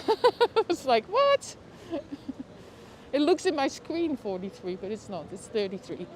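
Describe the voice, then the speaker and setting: A woman with a Dutch accent chats her way through a live outdoor walk, her voice high and her pace unhurried. She talks straight to her viewers on a lapel mic, with clear traffic and street noise all around. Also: wildly swooping pitch. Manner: chatty, reacts aloud, talks to viewers by name. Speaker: livestream walking-tour host. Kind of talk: casual live walking commentary. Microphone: lapel mic while walking.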